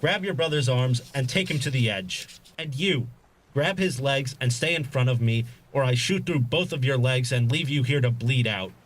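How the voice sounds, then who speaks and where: An actor playing a ruthless, impatient armed hijacker in an audio drama, curt and commanding. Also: male voice, medium pitch, expressive, moderate pace, close to an everyday voice, poor audio. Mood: cold threat.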